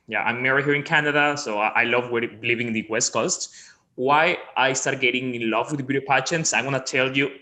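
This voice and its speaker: A man's voice: mid-range voice, bright, forceful tone. A man in his thirties from Ecuador, a guest on a video call chat, telling his story in a friendly, rambling way. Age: 30s.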